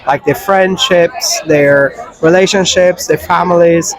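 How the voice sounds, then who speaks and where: Guy from the netherlands, pretty high voice, blunt and halting, crowd noise behind. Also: fairly even intonation. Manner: frank, halting, lots of fillers. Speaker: street interviewee. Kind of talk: casual street interview answer. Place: outdoors on a busy city street. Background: crowd chatter.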